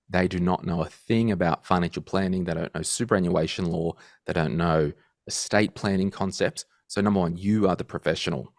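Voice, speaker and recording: A man in his thirties, a guest in a casual interview, telling animated stories and acting out quotes. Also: low voice, dry recording, studio quality. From Australia. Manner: animated storyteller, acts out quotes. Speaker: guest financial podcaster.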